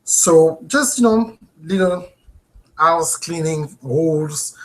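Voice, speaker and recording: A man in his thirties with a French accent, hosting a live webinar from a home office and talking into a webcam, earnest and animated, checking in with viewers. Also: fairly high pitch, slow pace, low-quality recording. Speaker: host.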